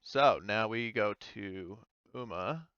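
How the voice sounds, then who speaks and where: Male game master, low clean voice, casual thinking-aloud narration, dry and slightly dull recording. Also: slow, fairly bright tone, smooth, dry recording.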